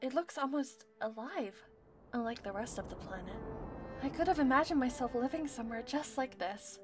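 A gentle, anxious female survivor, played by an actress in a sci-fi audio drama. Her higher voice is set back from the mic in long reverb, wistful and uneasy. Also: moderate pace, distant mic, music in the background, close to an everyday voice.

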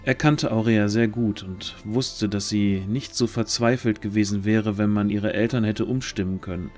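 Lower-pitched male storyteller. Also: a little mellow. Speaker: narrator. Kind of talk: scripted story narration. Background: background music.

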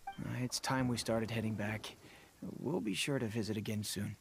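A man's voice: on the low side, normal speed, gravelly. An actor voicing a polite, grateful father in a video game, speaking in a mild, courteous way. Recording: faint hiss and noise.

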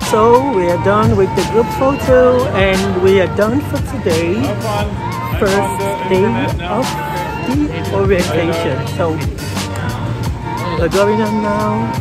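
A woman's voice: medium pitch, slow. A young woman from Nigeria, chatting casually to her phone camera as she vlogs her way around a university campus. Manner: chatty, interviews fellow students. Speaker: vlogger. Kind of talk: casual vlog chat. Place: university campus, busy halls. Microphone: phone held at arm's length. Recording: loud crowd and music behind.

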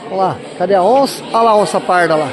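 High-voiced Brazilian man filming a wildlife park. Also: very fast, a crowd in the background. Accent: Brazilian Portuguese, southern Brazil. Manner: enthusiastic, repeats exclamations of awe, speaks to viewers. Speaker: vlogger filming a zoo visit. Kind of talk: casual handheld narration. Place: outdoor wildlife park with enclosures. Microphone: phone camera held while walking. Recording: noisy outdoor phone recording. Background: outdoor ambience and animal sounds.

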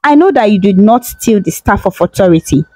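A woman voicing a calm young princess who speaks gently and reassuringly in a storybook tale. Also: medium pitch, fairly quick, no room sound, faint music behind, close to an everyday voice.

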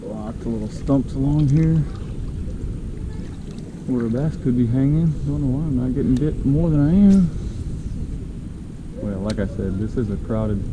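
A man with a mid-pitched voice talks to himself and his viewers in a low-key, unscripted way. He speaks in short phrases as a kayak fishing vlogger. The recording is made outdoors on the water, with clearly audible noise behind him. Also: lively intonation, a little slow, pauses often. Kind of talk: casual fishing vlog commentary.

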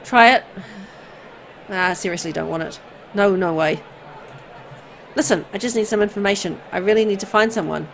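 A woman's voice: medium pitch, moderate pace, vocal fry, pauses often, slight background noise, natural voice. A woman voicing an earnest, anxious young heroine of a horror game with a pleading, hesitant delivery. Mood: reluctant, insistent.

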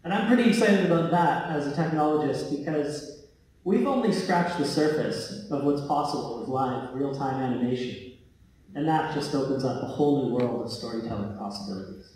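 A man giving an informal conference talk from a theatre stage, casual and a little halting in delivery. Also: mid-range voice, expressive, darkish tone, slight background noise. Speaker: tech company co-founder giving a talk. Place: large theatre stage, indoors. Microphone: handheld microphone, recorded by a far camera. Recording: very echoey hall, heard from far off.

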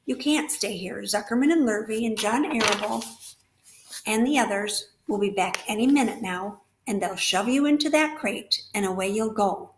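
A woman voicing a weak, dying female spider who speaks quietly and calmly, heard with a roomy echo. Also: medium pitch, moderate pace, a little hiss or hum, close to an everyday voice. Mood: weary calm. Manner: quiet, calm, weary.

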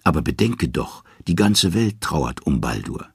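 Mythic storybook envoy, acted by a male narrator: earnest god, pleading, deferential, husky low voice. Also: sing-song, unhurried, no echo, clean studio sound, exaggerated voice. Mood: urgent.

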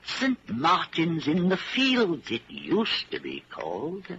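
An elderly shopkeeper in a 1940s radio play, talking slowly with a bright edge and a lilting, wistful politeness. He rambles and hesitates, eager to oblige. The old recording is muffled and hissy. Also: fairly high pitch, huge pitch swings, exaggerated voice. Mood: wistful reminiscing. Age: older. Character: elderly widowed junk shop keeper, chatty, wistful, deferential. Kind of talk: 1940s radio drama acting.

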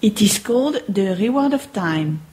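A woman's voice: medium pitch, expressive, moderate pace, a little mellow, husky. A woman playing a polite, earnest French fortune teller in an amateur radio play, formal in manner and with a French accent. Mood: courteous.

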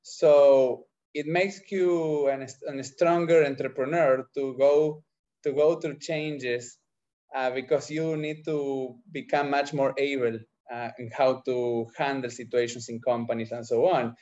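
A middle-aged man with an Argentine accent and Spanish as his first language, answering interview questions haltingly as he searches for words. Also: medium pitch, steady tone, mellow. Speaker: entrepreneur, podcast guest. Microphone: video call microphone. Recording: dull, lo-fi video call.

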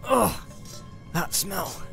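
A small, suspicious male hobbit as acted by a man, homely and plain-spoken. The voice is pitched up and grumbles along over clear background music, in fantasy audiobook style. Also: lively intonation, extremely slow, sharp tone, exaggerated voice. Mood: disgusted, suspicious.

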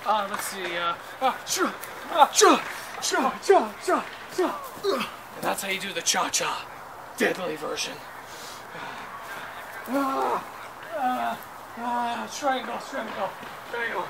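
A man's voice: very high voice, expressive, takes it slowly, creaky, pauses often. A man in his twenties doing improvised comedy and casual chat, physically active as he acts things out outdoors. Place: outdoors on a park lawn. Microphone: camera a few meters away. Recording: noisy outdoor camera audio.

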